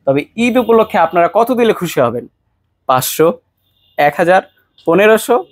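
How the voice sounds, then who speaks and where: A Bangladeshi man delivers a promotional sales pitch in a fairly high voice. He addresses viewers directly, in the persuasive way of a shop presenter. The sound is very dry, with hardly any room to it. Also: sing-song, normal speed, no room sound. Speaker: shop presenter selling a product. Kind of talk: promotional product pitch to camera.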